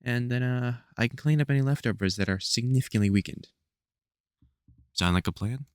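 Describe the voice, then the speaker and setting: A man's voice: medium pitch, a little fast, clean tone, no room sound, clean studio sound, lightly acted. A man playing a protective, sardonic veteran vampire hunter in an audio roleplay, scolding fondly in colloquial speech. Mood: focused, wry. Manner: colloquial, sardonic, scolding mentor with mild swearing. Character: gruff, protective veteran male vampire hunter, exasperated but fond. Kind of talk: scripted audio roleplay, one-sided conversation.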